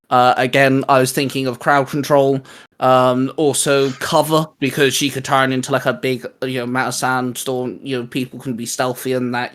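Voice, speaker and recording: A man co-hosting a casual geek chat about comics, speaking in a halting, self-interrupting way. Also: medium pitch, a bit bright. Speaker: co-host of a geek discussion show.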